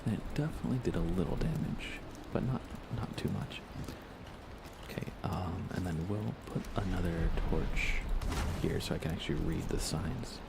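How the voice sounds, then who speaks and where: A man speaking softly and gently in an unhurried ASMR style while chatting on a live gaming stream, his voice hushed and at times close to a whisper. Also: sits low, expressive, vocal fry, heavy background noise. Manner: gentle, unhurried, thinking aloud. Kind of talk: soft-spoken ASMR gaming stream chat.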